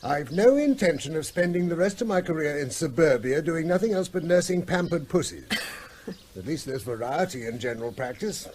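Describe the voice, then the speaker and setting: Higher-voiced British country vet and fatherly figure. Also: lively intonation, normal speed, darkish tone, breathy edge. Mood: wry, gently defensive. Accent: British English. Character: middle-aged country vet, a caring, wry, cautious father. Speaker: actor in a radio drama. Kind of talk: naturalistic British radio play acting.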